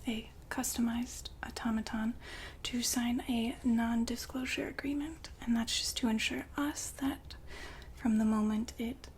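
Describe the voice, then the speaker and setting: A woman playing the poised, businesslike madam of a futuristic establishment in an ASMR roleplay, whispering softly and formally to a client. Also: on the high side, little pitch movement, a little hiss or hum, close to an everyday voice. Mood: courteous, businesslike. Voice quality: soft, breathy whisper. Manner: polite, formal, unhurried saleswoman patter.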